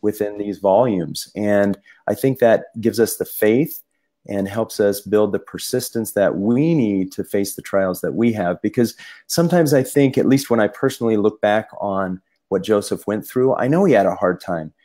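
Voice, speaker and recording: Low-voiced male co-host, casual and halting, full of fillers and restarts. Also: dark tone. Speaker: podcast co-host. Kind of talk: casual discussion.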